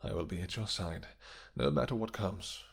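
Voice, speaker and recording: A man's voice: low-pitched, moderate pace, vocal fry, husky, full character voice. A man playing a tender, possessive vampire king with formal, courtly phrasing in a romantic fantasy audio roleplay. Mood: reassuring, concerned.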